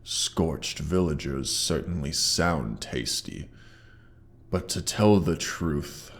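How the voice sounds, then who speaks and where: A man voicing a proud, menacing wounded dragon in a roleplay audio, with haughty deliberate speech, a gravelly tone and a monster effect on the voice. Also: animated, heavily acted. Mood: proud, indignant. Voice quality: very deep, gravelly. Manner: slow, deliberate, haughty menace with archaic wording. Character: menacing, proud, hoard-guarding injured male dragon. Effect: processed monster-voice effect. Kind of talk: scripted roleplay audio monologue.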